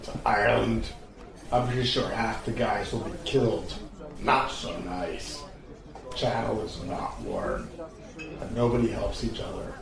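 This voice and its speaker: An actor playing a blunt, fatalistic, world-weary soldier back from duty in an audio drama. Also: male voice, on the low side, big pitch swings, a little slow, creaky, exaggerated voice. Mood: weary, cynical. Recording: distant, very echoey, crowd noise behind. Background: pub crowd chatter.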